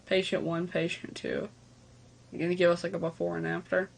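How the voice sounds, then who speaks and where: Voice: medium pitch, little pitch movement, a little fast, vocal fry. A reaction video host reading on-screen text aloud and breaking into casual, speculative asides. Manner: casual asides between read-out passages.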